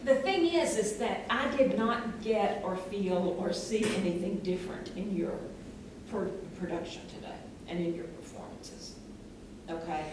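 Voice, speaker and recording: A woman's voice: mid-range voice, measured pace. A middle-aged woman speaking as a theatre judge, giving a critique to performers. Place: classroom, far camera. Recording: very echoey room, distant, noisy.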